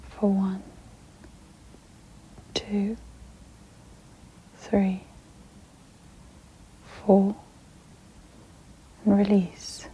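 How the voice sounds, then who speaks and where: A woman guiding an ASMR sleep meditation in a breathy, mostly whispered voice, soothing and gentle, with long pauses. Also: medium pitch, lo-fi sound, slight background noise.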